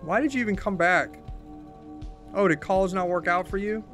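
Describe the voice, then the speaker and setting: A man's voice: mid-range voice, normal speed, faint music behind, lightly acted. A man voicing a bitter, exasperated young woman from a game, with a blunt, sarcastic, scolding manner. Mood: bitter anger.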